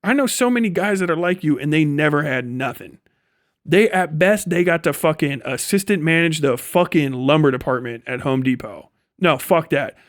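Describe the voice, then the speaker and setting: An American man livestreaming a casual, profane, blunt and rambling rant in an animated manner. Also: fairly high pitch, moderate pace, no room sound, clean studio sound. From United States. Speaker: livestream host. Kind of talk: casual ranting livestream commentary.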